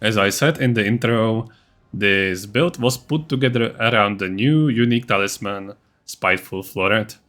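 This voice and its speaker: A man's voice: on the low side, moderate pace, fairly bright tone. A man narrating a video game guide as its creator, in an informal, matter-of-fact, explanatory way. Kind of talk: casual explanatory build-guide voice-over.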